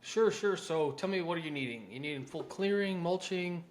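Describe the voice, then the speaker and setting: A man's voice: on the high side, lively intonation, normal speed, a little hiss or hum. A man with an American accent coaching contractors in an informal, conversational, salesy way, mixing instruction with sample phone-script lines.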